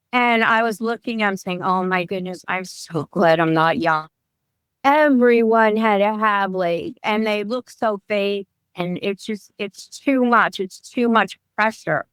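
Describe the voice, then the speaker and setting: Smooth-voiced woman wellness coach. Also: medium pitch, fairly bright tone, no echo. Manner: chatty, restarts sentences often. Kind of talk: podcast interview. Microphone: remote call.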